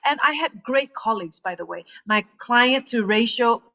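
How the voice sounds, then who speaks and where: A woman in her thirties, from Malaysia, talking through her story as a podcast guest. She is animated and laughs often between phrases. The recording sounds a little muffled. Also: on the high side, normal speed. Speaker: guest, transformational coach. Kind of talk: casual podcast conversation.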